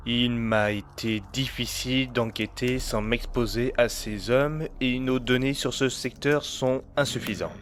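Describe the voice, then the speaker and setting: A man's voice: medium pitch, fairly even intonation, a little slow, few pauses, slight background noise, lightly acted. A man playing a level-headed underworld agent in a French audio drama, with a dry, colloquial, slightly exasperated manner. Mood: formal reporting.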